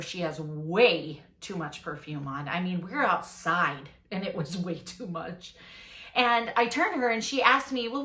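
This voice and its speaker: A woman acts out a frazzled suburban mother telling her story as a confessional monologue. Her voice is bright and very animated in pitch. She rambles conversationally and keeps justifying herself. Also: echoey room, heavily acted. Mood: flustered.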